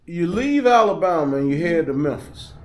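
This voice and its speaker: A man's voice: a little high, sing-song, moderate pace. A man hosting a podcast, reading a guest's bio aloud and then chatting with her in a folksy, encouraging way. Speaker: podcast host and coach. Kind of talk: podcast interview.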